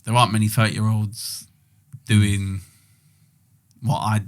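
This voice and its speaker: A man, co-hosting a podcast in relaxed, rambling, reflective chat. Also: on the low side, takes it slowly. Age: 20s. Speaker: podcast co-host. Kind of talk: casual chat.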